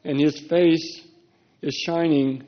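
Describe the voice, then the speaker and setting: A man prophesying in old-fashioned wording with deliberate phrasing. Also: steady tone. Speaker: prophetic speaker. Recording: dull low-fidelity recording.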